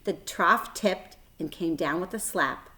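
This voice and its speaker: A woman reading a children's book aloud as a storyteller, expressive, with dramatic emphasis and shifting volume. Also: lowish voice, a little slow.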